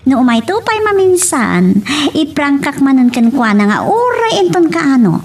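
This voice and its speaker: A headstrong, lovestruck young woman, played by an actress in a romance audio drama. Her voice is high and swings widely. She sounds set back from the mic, with a faint noise around her. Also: big pitch swings, normal speed, a little mellow, distant mic. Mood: defensive. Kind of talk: Ilocano radio-style love drama acting.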